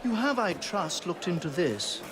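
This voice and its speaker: Flamboyant, smug, high-voiced aristocrat. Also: male voice, sing-song, a little slow, background noise. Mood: smug, teasing. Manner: pompous, teasing, theatrical. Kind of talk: video game cutscene acting.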